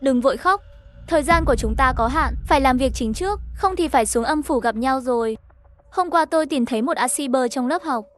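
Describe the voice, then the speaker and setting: A woman's voice: sits high, lively intonation, a little fast, soft background music. A woman reading a scripted story, voicing the narration and all the dialogue herself. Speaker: narrator of an animated horror story recap.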